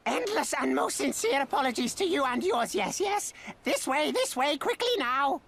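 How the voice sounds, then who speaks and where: A woman's voice: high-pitched, big pitch swings, normal speed, vocal fry, a bit bright, exaggerated voice. A woman voicing a fawning, shifty smuggler in a game cutscene who repeats words obsequiously. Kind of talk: video game cutscene acting.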